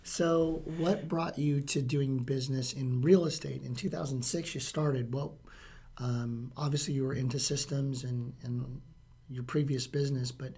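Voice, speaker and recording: Hoarse, raspy man with a mellow voice. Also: medium pitch, steady tone, measured pace. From California. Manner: chatty, with frequent "uh" fillers. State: hoarse from talking a lot. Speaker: interviewer for a real estate firm. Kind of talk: casual on-location interview. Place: real estate office.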